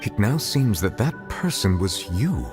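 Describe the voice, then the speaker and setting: A solemn male dragon sovereign and judge from a fantasy game. His voice is low and his manner formal, reserved and dignified. Clear background music runs under his deliberate lines. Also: very animated. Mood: grave, probing. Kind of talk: dramatic video game voice acting. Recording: music behind the voice.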